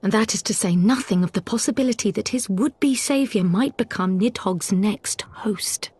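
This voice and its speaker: A woman's voice: mid-range voice, moderate pace, a little mellow, husky, close to an everyday voice. A woman voicing a spirited, learned female scholar in a game cutscene, with formal, precise, schoolteacherly speech. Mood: gravely cautioning. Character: small learned woman scholar, spirited, gently chiding mentor. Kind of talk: video game cutscene acting.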